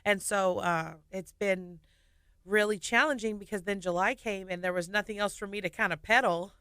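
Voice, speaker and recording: A woman in her thirties, a singer and nurse, telling her story with lively laughs in a casual radio studio interview. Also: mid-range voice, normal speed, smooth, dry recording.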